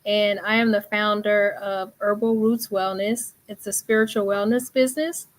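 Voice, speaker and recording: A woman's voice: medium pitch, steady tone, normal speed. A woman from the Bay Area who founded a wellness business, introducing herself in a friendly, easygoing way over a phone line. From San Francisco Bay Area. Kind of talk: casual panel introduction. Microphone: phone call.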